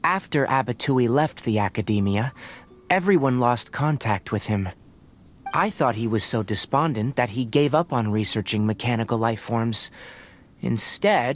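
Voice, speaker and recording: An actor playing a composed, scholarly young man in a video game, measured and matter-of-fact. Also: mid-range voice, animated, lightly acted. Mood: pensive. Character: composed, scholarly young male forest researcher.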